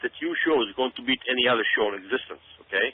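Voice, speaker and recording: A man's voice: mid-range voice, expressive, very fast. A man giving unscripted talk-show commentary in an urgent, emphatic way, often stopping to check that listeners follow. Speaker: guest commentator. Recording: narrow, tinny phone-like radio sound.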